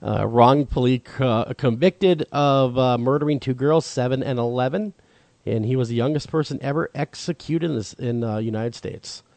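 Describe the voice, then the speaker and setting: A man's voice: mid-range voice, normal speed, a little mellow, no room sound. A man co-hosting a talk podcast, chatty and agreeable, his voice a bit froggy from a cold. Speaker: podcast co-host. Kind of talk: casual discussion.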